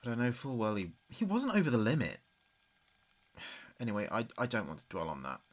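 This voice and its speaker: A man's voice: medium pitch, huge pitch swings, fairly quick. An actor playing a grieving adult son in an audio drama, speaking hesitantly and confidingly as if taping messages for his dead father. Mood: wistful, troubled. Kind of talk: audio drama monologue as a taped diary.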